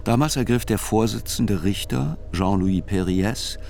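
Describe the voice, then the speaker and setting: An actor as a sober chronicler, narrating a radio drama in reflective, deliberate literary phrases. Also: male voice, fairly low pitch, gravelly, darkish tone, faint music behind. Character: sober male chronicler narrating a criminal trial.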